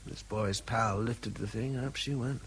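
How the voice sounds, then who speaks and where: An actor playing an exhausted, anxious English army officer in a radio drama, urgent and halting in his speech. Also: lowish voice, fairly bright tone, slight background noise, natural voice. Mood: weary, grave. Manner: halting, repeats himself under strain. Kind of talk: British radio drama acting.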